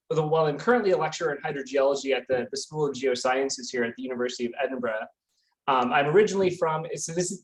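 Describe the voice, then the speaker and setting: A man's voice: medium pitch, low-quality recording. A Canadian man giving a casual online talk as a guest scientist, often restarting and repeating words. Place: home near a busy street. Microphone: computer mic on a video call.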